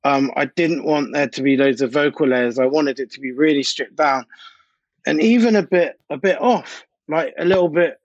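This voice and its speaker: A man's voice: a little high, normal speed, no room sound. Man chatting casually, dull dry recording. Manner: informal, slangy. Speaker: DJ and music producer, interview guest. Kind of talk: casual interview chat.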